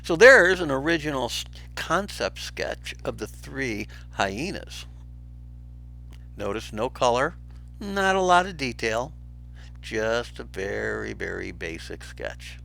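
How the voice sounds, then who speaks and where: A man's voice: mid-range voice, expressive, takes it slowly, vocal fry, pushed and bright, faint music behind. A man reading a children's storybook aloud as a teacher, narrating expressively with brief asides.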